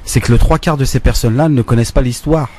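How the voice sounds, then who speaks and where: A man's voice: medium pitch, fast talker, darkish tone. A man on a radio panel debating conversationally, hesitating and repeating words.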